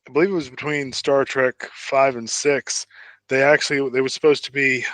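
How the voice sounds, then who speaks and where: A man co-hosting a podcast in casual fan chat, thinking aloud in a halting way. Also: medium pitch, little pitch movement, a bit bright, dry recording. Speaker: podcast co-host.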